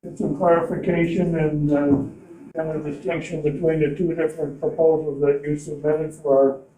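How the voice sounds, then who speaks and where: A man's voice: a little high, level tone, moderate pace, mellow. An older man chairing a county committee meeting, speaking plainly across a conference table. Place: meeting room, indoors. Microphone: room mic from across the table. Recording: echoey room, voice distant from mic.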